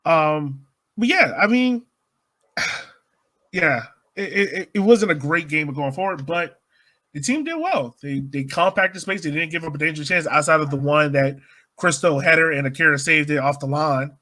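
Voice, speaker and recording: A man's voice: fairly high pitch, animated, moderate pace, pushed and bright. A man co-hosting a podcast, chatting casually through soccer analysis. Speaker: podcast co-host.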